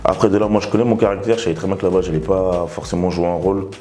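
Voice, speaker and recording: A man in his twenties, from Togo, answering interview questions in a relaxed, rambling way with lots of fillers. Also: low-pitched, rapid-fire. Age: 20s. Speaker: reality TV contestant.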